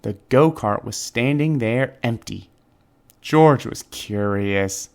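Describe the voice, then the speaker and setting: A man's voice: mid-range voice, slow pace, creaky. A man reading a children's storybook expressively, with dramatic emphasis on key words. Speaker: children's book reader. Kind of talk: expressive scripted storybook narration.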